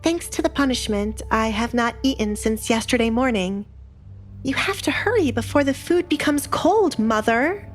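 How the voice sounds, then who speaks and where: A woman's voice: a little high, lively intonation, normal speed, faint music behind, pitched above the performer's own voice, natural voice. A woman voicing a young noblewoman in a romance audio drama, politely sweet with a pointed, taunting edge. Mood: sweetly needling.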